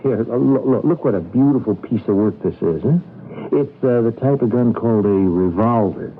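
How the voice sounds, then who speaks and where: A gentle American man, played by an actor, with a medium-pitched voice, eager and patient but a little hesitant. It is old radio drama, narrow and tinny, with faint noise. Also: expressive, moderate pace, lightly acted. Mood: eager, explaining.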